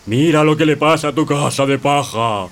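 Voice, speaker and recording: An actor playing the boastful, menacing big bad wolf in a children's radio play, with theatrical howls. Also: male voice, a little high, moderate pace, slight background noise, close to an everyday voice. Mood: threatening.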